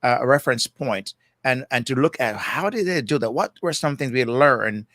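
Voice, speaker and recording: A reflective Jamaican man in his sixties, talking as a guest in easygoing conversation. His voice sits at an ordinary middle pitch and is recorded close and dry, with almost no room sound. He keeps checking in with "you know" and "right" as he thinks aloud. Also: animated, moderate pace, fairly bright tone, dry recording. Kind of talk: casual conversation.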